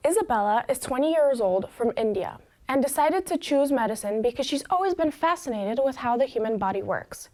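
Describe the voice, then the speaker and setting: A woman's voice: on the high side, darkish tone. Young woman. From Chicago, USA. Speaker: student presenter. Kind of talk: scripted introduction.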